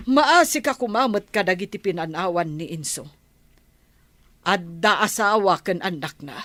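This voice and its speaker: A woman's voice: lowish voice, expressive, moderate pace, fairly bright tone, dry recording. An actress playing a stern, morally upright middle-aged mother in an Ilocano radio drama, with an Ilocano accent. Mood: reproachful, pleading.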